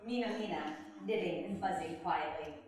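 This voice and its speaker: A woman's voice: fairly low pitch, moderate pace, darkish tone. A woman reading scripted stage directions as narrator of a dramatic reading. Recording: very echoey room, far from mic.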